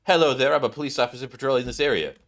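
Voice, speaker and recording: A man's voice: mid-range voice, rapid-fire, a bit bright, lightly acted. A man voicing an earnest, dutiful, rule-bound policewoman in a game story, formal and polite. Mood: polite official.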